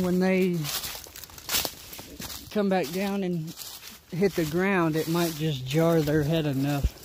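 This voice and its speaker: Higher-voiced man outdoors. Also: darkish tone. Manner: rambling, folksy, thinking aloud. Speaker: outdoor vlogger. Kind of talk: casual vlog commentary while walking. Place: outdoors in leafy winter woods. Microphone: handheld camera or phone, behind the lens. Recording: handheld outdoor recording with noise.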